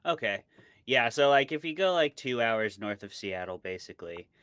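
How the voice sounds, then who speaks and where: American man, bright forward tone, smooth and clean, chatty, self-deprecating, humorous, casual interview guest, dry sound. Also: mid-range voice, expressive, normal speed, dry recording. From small town in Montana, United States. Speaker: interview guest, horror writer. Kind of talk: casual conversational interview answers.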